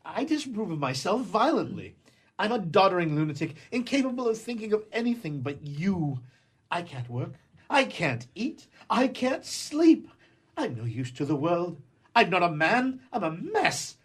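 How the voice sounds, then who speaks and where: A man plays an exasperated young lover in a 1920s comedy radio play. His husky voice leaps up and down as he blusters, stammers and makes florid, cynical declarations of love. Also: high-pitched, big pitch swings, normal speed, heavily acted. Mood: reckless ardour.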